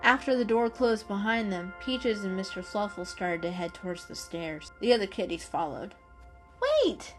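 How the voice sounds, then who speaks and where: A woman's voice: mid-range voice, normal speed, hardly any pauses, music in the background. A woman narrating a children's story as a dramatic reading.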